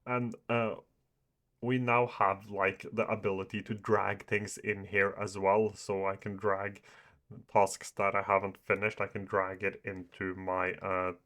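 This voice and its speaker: A man's voice: on the low side, flat delivery, a little slow, fairly bright tone. A young man whose first language is Norwegian or Farsi gives a casual walkthrough of an app, filling his talk with "uh" and "like". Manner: many fillers, "uh" and "like", hesitant. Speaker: YouTuber reviewing apps. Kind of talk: casual screen-share walkthrough.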